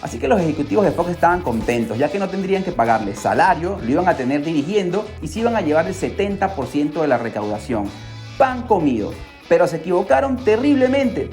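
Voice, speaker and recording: Animated podcast storyteller over faint music. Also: medium pitch. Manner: dramatic, excitable delivery with rhetorical questions and exclamations. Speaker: podcast host. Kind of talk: scripted storytelling narration for a pop culture podcast.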